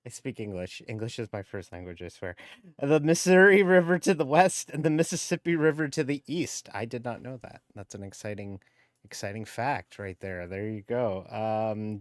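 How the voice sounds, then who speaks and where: Laid-back guy streaming sim racing, talks slow, dry close mic, rambly and self-mocking. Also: medium pitch, clear, resonant tone, no echo. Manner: rambling, self-deprecating, trips over words. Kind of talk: casual live-stream chat.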